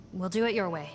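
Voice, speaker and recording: A woman's voice: fairly low pitch, lightly acted. A woman voicing a dutiful, weary law enforcement officer in a video game, serious and formal. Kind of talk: video game dialogue acting.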